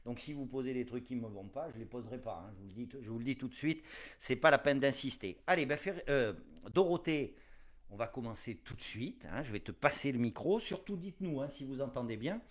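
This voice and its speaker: A man hosting a live interview show from home, talking in a relaxed, chatty way with many fillers and playful asides. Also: medium pitch, brisk. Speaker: host.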